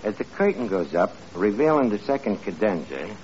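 An actor playing a self-important, ungrammatical New York tavern manager in a 1940s radio comedy. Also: male voice, lowish voice, sing-song, moderate pace, darkish tone. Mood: preoccupied, self-important. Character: gruff, wisecracking, uneducated tavern manager fancying himself a composer. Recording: muffled old radio broadcast, noisy, studio audience.

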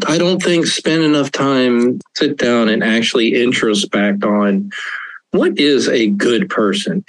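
A man's voice: medium pitch, no room sound, low-quality recording. An older man, an author, talking earnestly and reflectively as an interview guest, often laughing. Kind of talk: casual interview talk.

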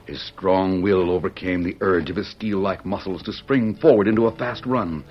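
A man's voice: low voice, moderate pace. A man narrating an old-time radio drama in a grave, emphatic, suspenseful style. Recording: muffled vintage radio recording.

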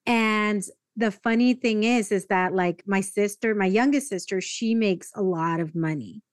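A woman's voice: mid-range voice, measured pace, dry recording, studio quality. A woman hosting a podcast, talking casually and animatedly, with lots of "like". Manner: animated, uses "like" a lot. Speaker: podcast host. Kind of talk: casual interview conversation.

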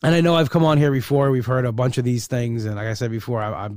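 A man's voice: mid-range voice, fairly even intonation, quick pace, a little mellow, smooth, no room sound. Rambling, candid man on a dry mic. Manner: rambling, candid, many restarts. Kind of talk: casual personal monologue.

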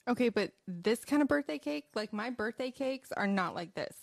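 A woman's voice: fairly high pitch, lively intonation, moderate pace, creaky, dry recording. Amused woman co-host, dry close sound. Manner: light, amused, teasing. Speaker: morning show co-host. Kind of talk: casual live-stream chat.

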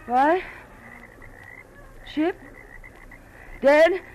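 A woman's voice: sits high, drawn-out words, a little mellow. An actress playing a defiant, scheming, desperate woman in melodramatic old-time radio style. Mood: shocked. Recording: noisy vintage radio recording.

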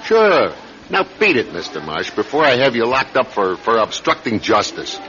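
An actor in a radio drama playing a weary, dismissive police sergeant, gruff, sardonic and colloquial. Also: male voice, mid-range voice, huge pitch swings, normal speed, background noise. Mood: irritated. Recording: dull lo-fi radio recording.

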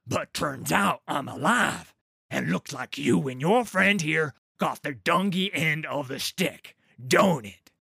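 A man's voice: on the high side, expressive, slow pace, vocal fry, sharp tone, slightly rough, no echo, studio quality, pitched above the performer's own voice, full character voice. A man doing a cheerful hulking troll king. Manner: cheerful, blunt.

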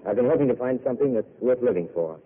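An actor playing a weary, self-mocking intellectual who speaks in a wry, eloquent way, heard on a tinny old radio broadcast. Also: male voice, medium pitch, expressive, fast, lightly acted. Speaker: wandering failed writer. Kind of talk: 1930s radio drama acting.

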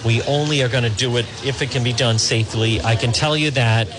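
A man's voice: mid-range voice, fairly even intonation, a little fast. Earnest New England man interviewing on the street. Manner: earnest, rambling questions with restarts. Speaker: radio host reporting from abroad. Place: outdoors at a border crossing among refugees.

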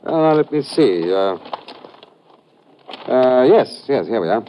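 Polite careful police inspector, old radio drama, bit muffled n hissy. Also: male voice, medium pitch, lively intonation. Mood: polite, methodical. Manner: polite, unhurried, slightly hesitant. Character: courteous, methodical male Scotland Yard police inspector. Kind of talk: 1950s radio drama acting. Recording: dull, noisy old radio recording.

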